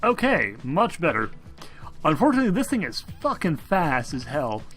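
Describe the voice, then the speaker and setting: A man's voice: a little high, huge pitch swings, moderate pace, fairly bright tone, soft background music. A man running a tabletop game, narrating casually and informally. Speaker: game master.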